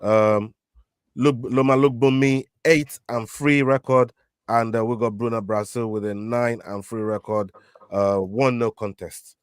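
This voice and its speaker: A man's voice: mid-range voice, fairly even intonation, measured pace, clean tone, no echo, clean studio sound. A man hosting a casual fight prediction show, leading the picks with many fillers and restarts. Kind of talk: casual betting picks chat.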